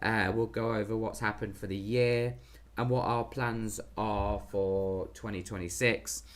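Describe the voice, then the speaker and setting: A British man with a hoarse, worn voice, chatting casually as the host of a live stream. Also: lowish voice, steady tone, measured pace. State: hoarse from losing his voice.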